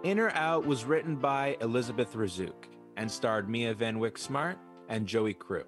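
A man's voice: mid-range voice, moderate pace, over music. A man reading the credits and introductions as the show's announcer. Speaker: show announcer. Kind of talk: scripted announcement.

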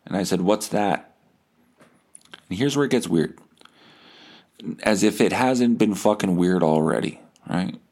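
A man in his thirties from Winnipeg hosting a podcast, telling a comedic story from a message exchange in a casual, sweary, animated way. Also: low voice, normal speed. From Winnipeg, Canada. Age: 30s. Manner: casual, sweary, animated when quoting dialogue. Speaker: podcast host.

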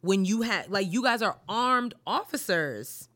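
A woman co-hosting a casual news chat, speaking emphatically and slowing down to stress her indignant points. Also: medium pitch, lively intonation, a bit bright. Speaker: podcast co-host.

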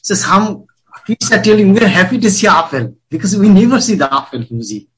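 A man from Bangladesh with a Bengali accent, speaking devoutly and haltingly in non-native English as he shares in a group. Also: high-pitched, lively intonation, a bit bright, rough recording. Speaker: class participant, devotee. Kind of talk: personal sharing in group discussion.

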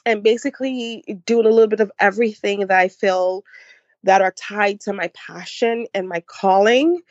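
A woman's voice: medium pitch, moderate pace, no echo, highs cut off. A woman in her forties with a Haitian accent, a guest in a casual interview, reflective and often restarting her sentences. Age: 40s. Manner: reflective, with many restarts and laughs. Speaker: podcast guest.

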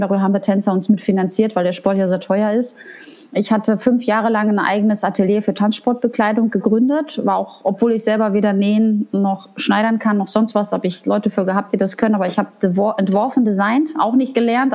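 A woman's voice: medium pitch, darkish tone. A middle-aged woman from Poland, a guest in an interview, talking candidly and casually with many fillers and restarts. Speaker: guest, head of a transit authority.

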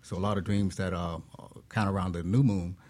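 A man speaking as a guest expert, explaining ideas in a reflective, measured, philosophical manner. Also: low voice, steady tone, a little mellow.

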